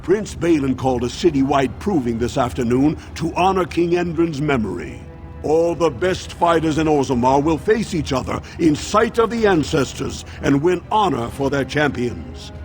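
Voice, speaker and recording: A man playing a proud dwarf citizen in a fantasy game. He speaks formally in a slightly high voice, with faint music behind. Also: animated, normal speed, a little mellow, close to an everyday voice. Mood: proud, informative. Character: formal dwarf townsman, proud of his city. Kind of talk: video game voice acting.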